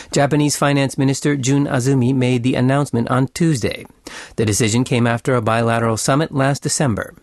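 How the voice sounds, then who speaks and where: A man's voice: mid-range voice, dry recording, studio quality. A man reading a scripted radio news bulletin as a news reader.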